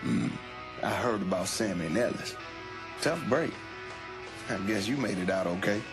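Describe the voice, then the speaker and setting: An actor playing a wry, wary small-time hustler in a video game, sardonic and drawn-out. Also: male voice, fairly low pitch, animated, music in the background, natural voice. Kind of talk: cinematic game dialogue.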